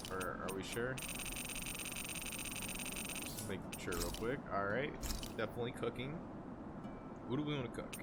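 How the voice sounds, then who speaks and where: A man's voice: medium pitch, heavy background noise. A man giving casual, laid-back video game commentary, thinking aloud and swearing as he plays. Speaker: let's play host. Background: video game music.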